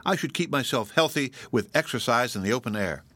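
A man reading aloud and voicing a cheerful, frank young girl from a village who speaks brightly and earnestly. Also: medium pitch, lively intonation, brisk, no room sound.

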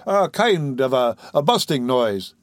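A man voicing a pompous, self-important male servant in a storybook, telling his tale with drawn-out emphasis. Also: medium pitch, very animated, no room sound, studio quality. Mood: dramatic, self-important. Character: pompous male household servant proudly recounting a robbery. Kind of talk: dramatised storybook dialogue.

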